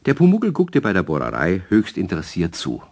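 An actor as an avuncular narrator of a children's radio play, measured and expressive, speaking to young listeners. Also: male voice, sits low, heavily acted. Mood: gently explanatory. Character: avuncular male storyteller addressing children. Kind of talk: scripted children's storytelling.

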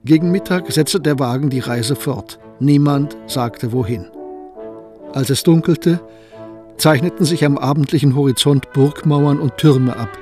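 A man narrating a historical radio play in a calm, measured storytelling manner. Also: on the high side, faint music behind.

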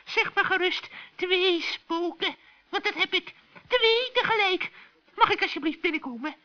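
Old female forest witch for a children's radio play, played by a man: extremely high, piercing, panicky, wheedling; dull vintage recording. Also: measured pace, bright, forceful tone, heavily acted. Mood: shaken. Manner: panicky, pleading, stammering.

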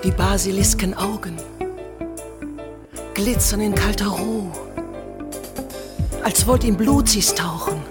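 A woman performing brooding romantic verse in a radio play, in a deliberate, lyrical style set to music. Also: medium pitch, natural voice. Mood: ominous. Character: female reciter of a brooding romantic empress's verse. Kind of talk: slow poetic recital set to music.

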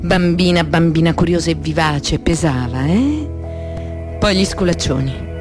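A woman's voice: on the low side, animated, measured pace. An actress playing a defiant, anguished woman who informs on the mafia. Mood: pained remembering. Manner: intense, emotive, broken into short dramatic phrases. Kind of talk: dramatic radio theatre monologue. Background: music.

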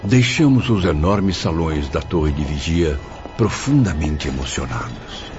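A man's voice: extremely low pitch, expressive, measured pace, heavily acted. A Brazilian actor playing a grave, reflective spirit narrating his memories in a radio drama. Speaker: first-person narrator. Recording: dull lo-fi audio under loud music.

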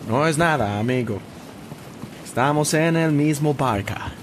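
Un actor que interpreta en una radionovela a un capitán de barco extranjero, efusivo, con acento de angloparlante y español entrecortado. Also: male voice, on the high side, a little slow, natural voice. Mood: friendly. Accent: English-speaking foreigner's. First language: English. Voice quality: broken Spanish mixed with English exclamations. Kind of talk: radio drama acting. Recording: rain and boat noise behind.

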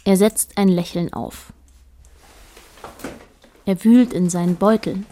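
A woman narrating a radio play as a detached observer, in a measured, matter-of-fact way. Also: medium pitch, warm tone, a little hiss or hum, close to an everyday voice. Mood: detached, observant. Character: detached female narrator observing a married couple.

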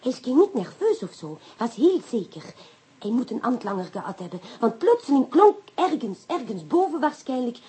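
A woman playing a self-assured, coquettish Frenchwoman sleuth with a French accent and husky voice in a radio play. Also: a little high, very animated, moderate pace, dark tone, heavily acted. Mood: recounting. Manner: coquettish, sprinkles in French phrases. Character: clever Frenchwoman, self-assured amateur sleuth, fond of the inspector. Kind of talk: Dutch radio play acting.

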